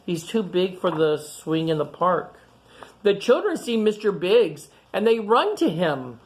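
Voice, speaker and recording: Woman, low pitch, children's storytime reader, simple repetitive phrasing, gentle questions, picture-book read-aloud delivery. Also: big pitch swings, measured pace.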